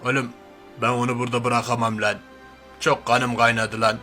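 A man's voice: mid-range voice, level tone, moderate pace, sharp tone, faint music behind, natural voice. A man voicing a brash, grumbling, slangy macho man turned reluctant superhero in a cartoon parody. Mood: sentimental. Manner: blustering, slangy, threatening then sentimental. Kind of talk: cartoon parody character dub.

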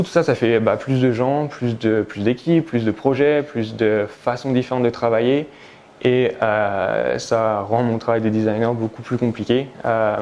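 A man's voice: mid-range voice, darkish tone. A man in his thirties giving an informal presentation as a product designer, hesitant and searching, dropping in English jargon. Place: indoor room by a street-facing window. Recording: clear background ambient noise.

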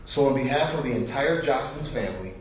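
Middle-aged male award presenter, folksy and conversational, mid-pitched, heard from a distance in a heavily reverberant hall. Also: lively intonation, brisk. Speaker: guest presenter, company manager. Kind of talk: award presentation speech to an audience. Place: stage in an auditorium. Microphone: podium microphone. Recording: very echoey hall, distant, faint noise.

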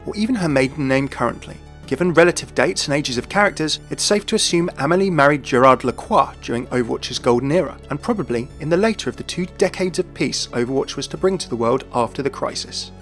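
A man's voice: fairly high pitch, fairly bright tone. A man reading scripted narration as the narrator of a video game lore explainer.

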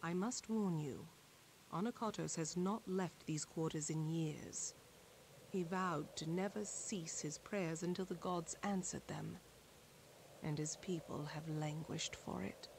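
An actress playing a solemn armored female guide in a fantasy video game, speaking formally and gravely. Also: low voice, a little slow, darkish tone, a little hiss or hum, poor audio. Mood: grave, cautioning.

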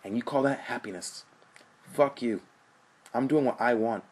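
Man reading as angry young slacker brother, husky, swearing, defensive. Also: fairly low pitch, fast talker.

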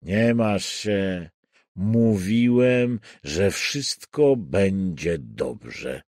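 Man acting menacing male killer, audiobook thriller, very slow, low, calm and coaxing. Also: no echo, studio quality, heavily acted. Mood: soothing menace. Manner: slow, stern, softly coaxing.

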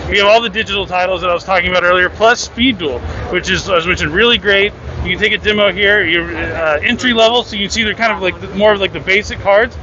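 Man, quick walking-tour guide, upbeat promo talk, handheld mic, busy convention floor, muffled audio. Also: high-pitched. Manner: upbeat and promotional, frequent fillers while walking. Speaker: booth guide for a card game company. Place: busy convention hall indoors. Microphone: handheld mic with windscreen. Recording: dull audio with loud crowd noise.

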